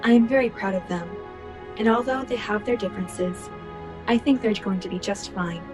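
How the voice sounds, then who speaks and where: A woman playing a proud, composed colonist dictating a formal log in a radio play, measured in manner. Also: mid-range voice, close to an everyday voice. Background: music.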